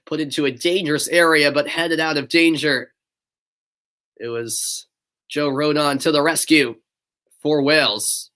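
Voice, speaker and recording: A man's voice: a little high, fairly even intonation, a little slow, pauses often, bright, forceful tone. A young man from the northeastern United States with a stuffy nose, calling live play-by-play and chatting casually with viewers. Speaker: play-by-play commentator. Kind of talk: live amateur sports commentary.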